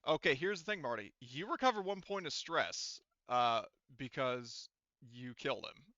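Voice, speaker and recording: A man's voice: medium pitch, lively intonation, moderate pace, sharp tone, dry recording, dull audio. A man speaking as game master, running a tabletop role-playing game and explaining rules and outcomes to players.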